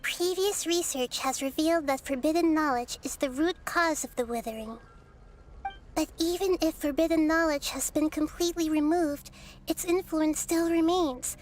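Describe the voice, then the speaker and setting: Actress for a gentle girlish goddess, high bright voice, calm lecturer manner. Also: moderate pace, faint music behind, lightly acted. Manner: calm, explaining, kind. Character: gentle young-looking goddess, calm scholar. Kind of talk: video game dialogue.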